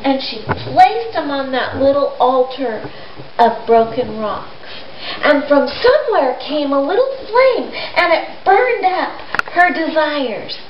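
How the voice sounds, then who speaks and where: A woman with a high voice retells a story for children in simple, explanatory language. The recording is dull, with clear background noise. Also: very animated, takes it slowly. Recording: noisy, echoey, dull amateur recording.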